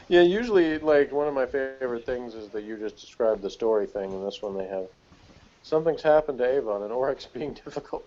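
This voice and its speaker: Male panelist, mid-pitched, casual and digressive, amused delivery, lo-fi video call sound. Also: animated, moderate pace, a little mellow. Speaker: panelist in a TV series review. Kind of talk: casual review chat. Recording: dull lo-fi video call audio.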